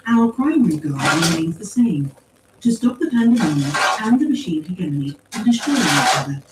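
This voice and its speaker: A woman voicing a calm, formal machine intelligence in an audiobook story, with precise, measured phrasing. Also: on the low side. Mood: coolly reasoning. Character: calm, coolly logical machine mind speaking through empty suits. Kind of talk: acted audiobook dialogue. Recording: roomy, distant pickup with faint noise.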